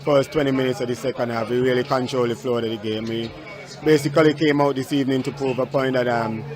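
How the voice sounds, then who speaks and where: A man, a football coach giving a halting, repetitive post-match interview. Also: mid-range voice, fairly even intonation, crowd noise. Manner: halting, repeats himself.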